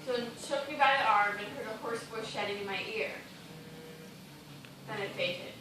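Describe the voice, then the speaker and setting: Echoing, distant actress as a haunted castaway dancer. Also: female voice, fairly high pitch, moderate pace, natural voice. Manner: formal, dramatic stage delivery. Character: fearful shipwrecked female dancer, weary, haunted. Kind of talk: formal stage play dialogue. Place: stage in a theatre or hall. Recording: distant, echoey, noisy live theatre recording.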